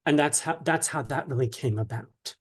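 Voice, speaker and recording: A man answering interview questions casually, in a hesitant, halting and polite way. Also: a little high, breathy edge. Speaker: interview guest, dramatist and author.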